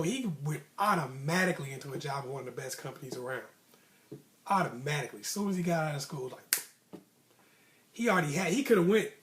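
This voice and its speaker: A man telling a personal story in a casual, motivational way, with slangy, emphatic phrasing and repetition for emphasis. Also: high voice. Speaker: vlogger, storyteller.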